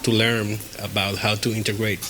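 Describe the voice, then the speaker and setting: A man from the Dominican Republic, dean of a business school, introducing himself in a formal, slightly halting way. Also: on the low side, flat delivery, sharp tone. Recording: noisy room with clicks.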